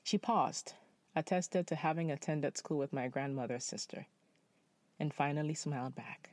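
A young man from Nepal telling a personal story in spoken-word style, measured and reflective. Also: a little high, darkish tone, no room sound.

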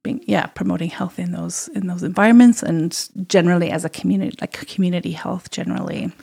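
Low, gravelly-voiced woman midwife. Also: fairly even intonation, fast. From Zimbabwe. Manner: thoughtful, meandering, with small restarts and soft laughs. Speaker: midwife, interview guest. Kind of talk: casual conversational interview.

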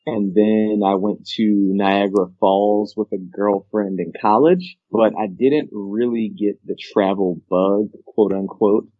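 A man's voice: on the low side, fairly even intonation, unhurried, hardly any pauses. A young adult man from Baltimore, a podcast guest, telling travel stories in a laid-back, chatty way and often repeating words as he goes. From Baltimore, USA. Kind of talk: casual interview chat. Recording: dull lo-fi sound.

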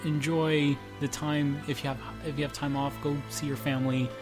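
A man's voice: fairly high pitch, flat delivery, normal speed, music in the background. Playful young man hosting a podcast. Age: 20s. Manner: joking, rambling, playful. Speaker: podcast host. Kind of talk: casual group chat.

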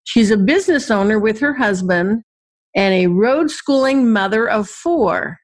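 A woman hosting an interview show, introducing her guest and asking questions in a measured way. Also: mid-range voice, lively intonation. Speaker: talk show host.

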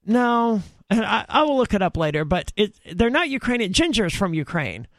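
High-voiced man rambling about bands. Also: sing-song, normal speed, no echo, clean studio sound. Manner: rambling, self-correcting, emphatic. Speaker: podcast host. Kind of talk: casual chat about metal bands.

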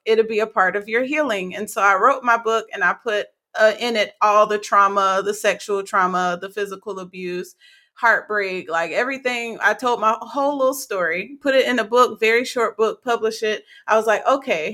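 A young woman hosting a faith-based podcast interview, with a raspy, hoarse voice, talking excitedly with lots of fillers and frequent laughter. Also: mid-range voice, normal speed. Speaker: podcast host.

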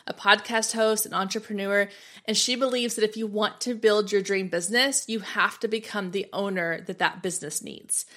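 Bright-voiced enthusiastic woman podcast host. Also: medium pitch. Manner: enthusiastic, effusive praise. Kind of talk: upbeat podcast interview.

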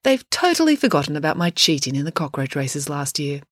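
A woman's voice: deep voice, sing-song, brisk, no echo, clean studio sound. A woman voicing a breezy, shamelessly cheerful young girl with a gushing manner in a story. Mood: smugly sweet.

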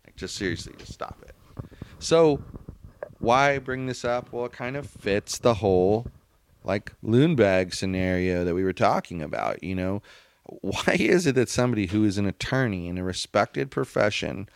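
Low-voiced man, emphatic and argumentative. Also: expressive, normal speed, vocal fry, no room sound, studio quality. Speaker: podcast co-host. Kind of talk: casual opinionated chat.

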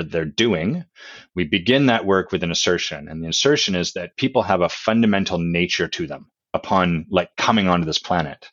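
Deep loud-voiced guy walking through his ideas w examples, kinda muffled lo-fi sound. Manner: explains concepts with analogies and examples. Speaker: author and guest. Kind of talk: conversational podcast explanation.

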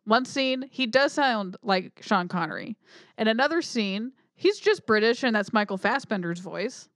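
A woman's voice: fairly high pitch, lively intonation, normal speed, a bit bright, dry recording, clean studio sound. A woman co-hosting a podcast, bluntly skeptical in casual movie chat, often trailing off mid-thought. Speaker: podcast co-host.

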